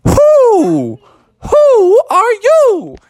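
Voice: extremely high pitch, huge pitch swings, extremely slow, a little mellow, dry recording, studio quality, voice pushed up, full character voice. A storyteller voicing a prim old male owl with a hooting, wavering voice. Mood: startled.

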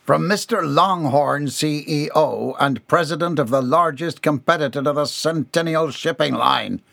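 A man voicing a stern old shipping boss in an audiobook, forceful and accusing in manner. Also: fairly high pitch, moderate pace, creaky, dry recording, pitched above the performer's own voice. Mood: stern, urgent. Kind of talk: dramatised audiobook dialogue.

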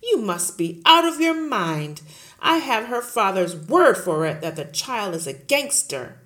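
A woman voicing a huge, bullying headmistress who shouts, barks and sneers at everyone. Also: medium pitch, big pitch swings, measured pace, voice pushed up. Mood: blustering. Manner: shouting, barking, scornful.

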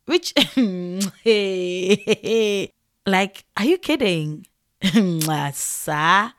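A young woman from Ghana with a Ghanaian English accent, hosting a podcast and telling a story in a chatty, animated way with frequent laughs. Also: medium pitch, extremely slow, no room sound, clean studio sound. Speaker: podcast host. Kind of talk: casual storytelling monologue.

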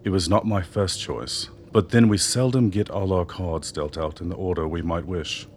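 An actor in a radio drama playing an older doctor, a persuasive political mentor who speaks in measured, eloquent phrases. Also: male voice, low voice, darkish tone, close to an everyday voice. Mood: assured, persuasive. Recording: noticeable background noise.

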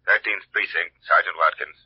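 Gruff police sergeant, vintage radio; husky bass, businesslike, dry dull sound. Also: male voice, normal speed, dry recording. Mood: businesslike, puzzled. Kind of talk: 1940s radio acting. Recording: muffled 1940s radio recording.

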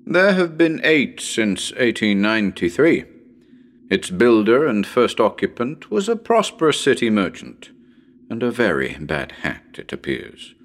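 A man reading as a genial, well-spoken radio presenter of a ghost hunt, with a measured, wry and formal manner. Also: on the low side, wildly swooping pitch, full character voice. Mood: measured, grave. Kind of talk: dramatic reading as live radio broadcast.

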